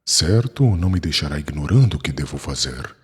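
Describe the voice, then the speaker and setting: A man's voice: extremely low pitch, expressive, fairly quick. A man playing a proud, resolute blind man full of faith, speaking formally in a dramatized religious audiobook. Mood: confident, reassuring. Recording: echoey hall with music.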